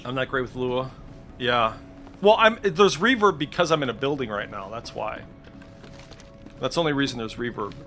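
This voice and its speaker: A man's voice: medium pitch, lively intonation, moderate pace, long pauses, fairly bright tone, faint music behind. A man giving casual, informal screen-share commentary as a game streamer, swearing freely. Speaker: streamer demonstrating game add-ons.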